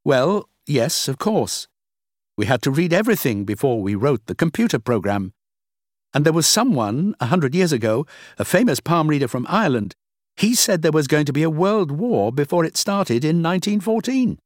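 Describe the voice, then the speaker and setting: A man's voice: on the high side, very animated, normal speed, no room sound, studio quality, voice pushed up. A man voicing a curious, earnest young woman researcher in an audiobook reading. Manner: polite, earnest, questioning.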